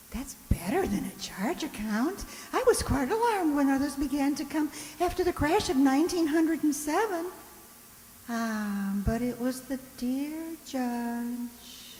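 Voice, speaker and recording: A woman acting an imperious, snobbish old lady of about eighty in a radio drama, with a haughty, drawn-out theatrical delivery. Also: high-pitched, a little mellow, big-room sound, a little hiss or hum, rough recording.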